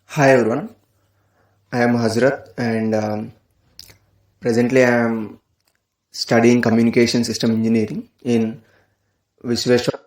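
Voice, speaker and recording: A young male student with an Indian accent introduces himself in a flat, barely varying voice of medium male pitch. The voice sample sounds roomy and echoey. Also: measured pace, pauses often. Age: young adult. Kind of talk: short self-introduction. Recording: echoey played-back voice sample.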